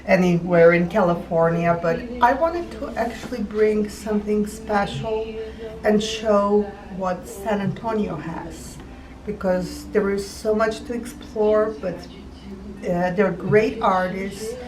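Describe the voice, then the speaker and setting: A woman's voice: mid-range voice, slow pace, crowd noise. A woman from Serbia, an artist and gallery owner answering interview questions, hesitant and full of ums while searching for words. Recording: roomy, distant mic with music behind.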